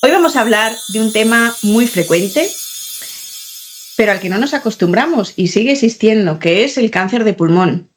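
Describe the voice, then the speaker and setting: A woman's voice: medium pitch, animated, moderate pace, soft background music. A woman hosting a medical podcast, chatty and friendly, rephrasing her questions as she goes. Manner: chatty, laughs often, asks and rephrases questions. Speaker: podcast host. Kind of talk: friendly interview.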